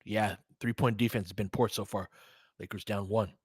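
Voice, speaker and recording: A man's voice: fairly low pitch, steady tone, moderate pace, slightly rough, no echo, clean studio sound. A man doing casual basketball play-by-play as a stream host, in clipped phrases with chatty asides to listeners.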